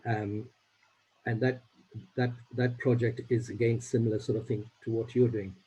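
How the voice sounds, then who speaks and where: A man from Uganda, a native Swahili speaker, speaking as a charity trustee on a video call, halting and repeating words as he goes. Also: medium pitch, level tone, warm tone, husky, poor audio. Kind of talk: informal remarks on a video call meeting.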